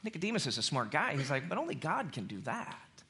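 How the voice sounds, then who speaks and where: A man preaching a conversational sermon as an animated storyteller, repeating phrases for emphasis. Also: fairly high pitch, a little fast, slight background noise. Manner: animated storyteller who acts out dialogue in slang.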